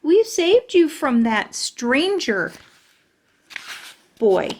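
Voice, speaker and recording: A woman's voice: fairly high pitch, sing-song, unhurried, a little hiss or hum. A woman voicing a brash, chatty kindergarten girl who tells her own story in childish grammar. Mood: indignant. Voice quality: childlike, bossy, ungrammatical storytelling. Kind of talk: audiobook narration.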